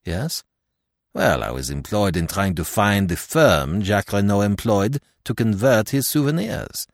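A man voicing a shrewd, courteous Belgian detective in an audiobook, precise and calmly confident. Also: on the low side, unhurried, no echo, studio quality. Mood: measured.

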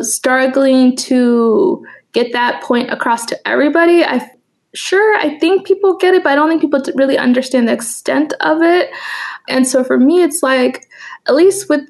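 Young woman, high pitch, vocal fry, Swahili and Kikuyu first languages, casual, chatty interviewee. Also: moderate pace. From United States, raised in Kansas after early childhood in East Africa. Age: young adult. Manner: chatty, informal, laughs often while talking. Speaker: interview guest, photographer. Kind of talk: casual personal conversation.

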